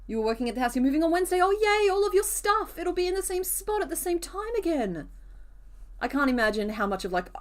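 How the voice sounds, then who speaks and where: A woman with a rough, hoarse voice chatting casually with viewers during a live crochet stream, answering comments as she works. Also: on the high side.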